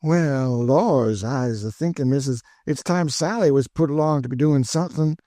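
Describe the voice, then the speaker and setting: A man's voice: medium pitch, normal speed, mellow, dry recording, clean studio sound, voice pushed up, lightly acted. A man voicing an eager, chatty older woman cook with an in a storybook reading. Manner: exclaiming, folksy, eager.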